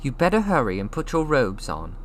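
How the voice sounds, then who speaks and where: A man voicing a brisk, bossy schoolgirl who scolds in a storybook reading. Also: medium pitch, wildly swooping pitch, slight background noise, pitched above the performer's own voice. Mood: bossy scolding. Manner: scolding, busy.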